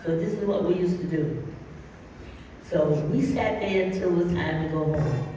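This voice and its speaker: An older woman from Harlem spins a long story from her youth, animated and quick to laugh. Her voice comes from a distance across a large hall, with a long echo trailing each phrase and faint background noise. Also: lowish voice. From Harlem, New York. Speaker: guest dancer. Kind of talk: storytelling on a panel. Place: large hall at a dance camp.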